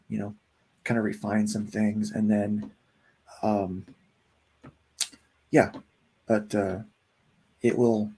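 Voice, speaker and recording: A gravelly man in his forties talks about his comic project in a loose, rambling way. He pads his sentences with plenty of fillers as a relaxed interview guest. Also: on the low side. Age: 40s. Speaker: comic book writer and guest. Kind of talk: casual interview chat.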